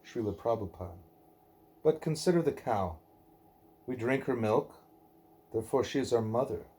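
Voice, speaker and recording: A man reading a devotional dialogue aloud in measured, deliberate phrases. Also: mid-range voice, expressive, warm tone. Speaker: reader of a devotional book; parent reading aloud at a child's bedtime.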